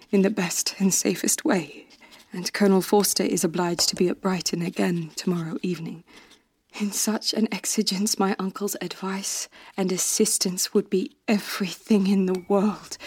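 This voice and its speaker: A woman reading aloud the letter of a gentle young woman, measured and softly anxious. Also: medium pitch, lightly acted.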